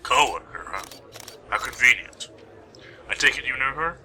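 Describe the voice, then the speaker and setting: A man playing a brisk, dry police officer in an amateur radio play, clipped and procedural. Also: mid-range voice, animated, sharp tone, slight background noise. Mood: suspicious.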